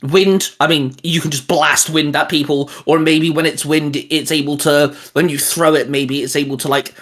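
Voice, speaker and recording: A man's voice: a little high, fairly even intonation, moderate pace, fairly bright tone. A British man hosting a casual chat, brainstorming ideas with enthusiasm. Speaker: podcast host.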